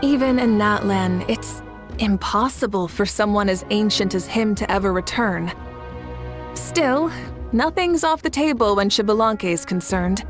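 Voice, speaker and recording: An actress playing a calm, assured, kindly woman leader in an anime game, composed and reassuring. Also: medium pitch, unhurried, music in the background, natural voice. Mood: earnest.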